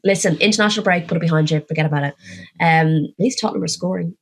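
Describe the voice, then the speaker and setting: A woman hosts a casual football podcast, her nasal voice bunged up and croaky from a cold. She talks in a chatty, self-mocking way, rattling through scores. Her voice sits a little low. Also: racing. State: congested, croaky voice. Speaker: podcast co-host.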